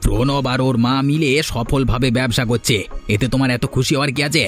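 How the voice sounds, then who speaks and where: A man's voice: mid-range voice, fairly even intonation, fairly quick, soft background music, close to an everyday voice. A man voicing a jealous, short-tempered poor farmer in a cartoon, sour and sarcastic. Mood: irritated. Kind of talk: Bengali cartoon voice acting.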